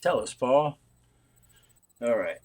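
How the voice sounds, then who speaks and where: A man hosting a collectors' chat in an excitable, teasing way, with loud bursts. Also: medium pitch, slow pace. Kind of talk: casual panel chat.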